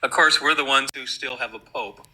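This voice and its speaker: A man's voice: mid-range voice, normal speed, a crowd in the background. A man in a casual online voice chat, polite and hesitant with many ums. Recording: noisy mic line.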